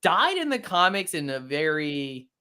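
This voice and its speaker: A man's voice: a little high, very animated, a little slow, pushed and bright, smooth. A man in casual fan discussion, talking in a hedging, roundabout way with many filler phrases. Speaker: guest co-host from a geek show.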